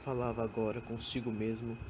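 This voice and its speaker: A woman's voice: extremely low pitch, level tone, brisk. Narrator, female, scripted storytelling for student radio drama. Somewhat lo-fi sound, light background noise. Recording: dull amateur recording with faint noise.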